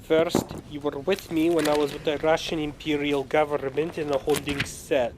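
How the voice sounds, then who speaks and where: Man as a higher-voiced commanding general. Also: flat delivery, normal speed, background noise, natural voice. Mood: smug. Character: commanding male military general, sly and blunt. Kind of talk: audio drama acting.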